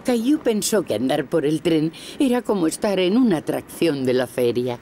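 A woman playing a kindly storyteller, narrating a children's cartoon in expressive Castilian Spanish. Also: low-pitched, moderate pace, background noise. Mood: gentle, amused.

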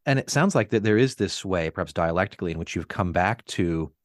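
Deep-voiced man asking long questions. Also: expressive, brisk, dry recording. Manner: long winding questions, hesitant repeats. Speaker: podcast host. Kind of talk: academic interview questions.

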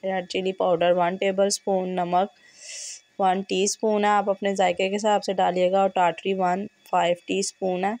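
Hoarse lady walking thru a recipe at home, gravelly and flat, dry close phone sound. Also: mid-range voice, normal speed, no room sound. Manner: steady step-by-step instructions, repeats measures. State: hoarse, has a fever. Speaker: cooking channel host. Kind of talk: home cooking tutorial. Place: home kitchen, indoors.

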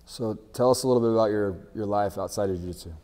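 A man's voice: on the low side, quick pace, dark tone. A man hosting an interview, friendly and loose, with frequent "uh" and restarted questions. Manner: friendly, with frequent "uh" and repeated starts. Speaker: interview host, jiu-jitsu instructor. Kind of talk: casual interview. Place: gym with large windows, indoors. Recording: long reverb, faint music behind.

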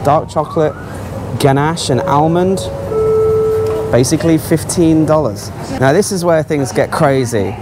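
A man's voice: fairly high pitch, a little slow, very noisy. A man giving casual, offhand, exclaiming commentary as a travel vlogger walking around a supermarket. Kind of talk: casual running vlog commentary. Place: large supermarket aisles.

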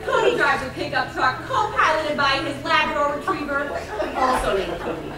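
A woman's voice: sits high, expressive, normal speed, over loud music, over a noisy crowd. A young woman playing a perky scientist who lectures on rom-com rules in an emphatic, scripted comic stage style. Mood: perky, mock-earnest. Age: young adult. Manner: theatrical, emphatic delivery. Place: stage in a large theater. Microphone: far camera. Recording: distant echoey theater with audience.

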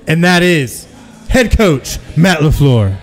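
A man's voice: fairly high pitch, big pitch swings, slow, soft background music, poor audio. A man in his thirties hosting a live sports podcast from a taproom, upbeat and joking as he plugs the venue. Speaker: podcast host. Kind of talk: casual sports talk show. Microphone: stand mic with pop filter.

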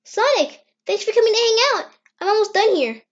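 A performer voicing an eager, anxious young boy fox in an amateur cartoon comic dub, earnest and excitable. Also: very high-pitched, brisk, pitched above the performer's own voice. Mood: cheerful. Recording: dull, lo-fi screen-recorded sound.

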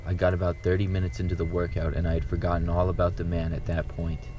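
A man reading true horror stories aloud in a calm, steady first-person narration. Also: low voice, fast talker, music in the background. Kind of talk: scripted horror story narration.

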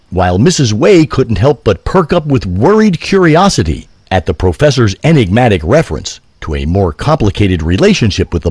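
A man's voice: fairly low pitch, a little mellow, breathy edge, no room sound. A man narrating a scripted audio drama as a theatrical, wordy storyteller.

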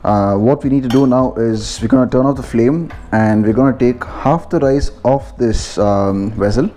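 A man's voice: mid-range voice, moderate pace, dark tone, a little hiss or hum. A man with an Indian accent giving a casual, informal step-by-step cooking narration as a home vlogger in a kitchen.

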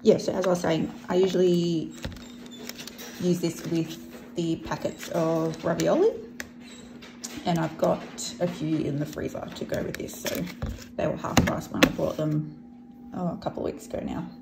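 A woman's voice: lowish voice, level tone, normal speed, gravelly, pauses often, background noise. A woman with an Australian accent chatting casually to camera as a vlogger, unscripted and often trailing off mid-thought. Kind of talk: casual grocery haul chat to camera.

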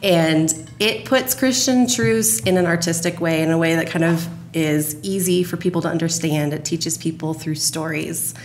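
A woman's voice: sits low, normal speed, few pauses, a little hiss or hum. A woman speaking as a podcast guest in a casual interview chat. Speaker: podcast guest, radio drama producer.

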